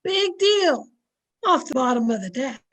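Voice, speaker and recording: Woman as a homely wry wife, high animated voice, polite, teasing, radio comedy. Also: measured pace. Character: folksy, wry, sociable wife of a blowhard. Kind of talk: old-time radio comedy acting.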